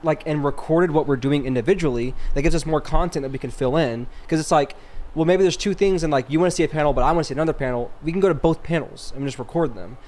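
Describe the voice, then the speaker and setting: A man's voice: a little high, fast, a little mellow. A man co-hosting a podcast in a casual, slangy chat, often repeating himself for emphasis. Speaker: podcast co-host.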